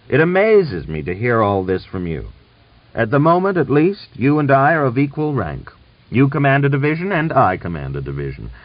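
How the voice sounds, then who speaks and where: A man's voice: mid-range voice, dry recording, far from the mic, voice pushed up. A man voicing a proud, hot-blooded cavalry commander in an audiobook, thick-voiced, stubborn and challenging. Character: defiant, proud, hot-blooded male Cossack division commander. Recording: dull lo-fi recording.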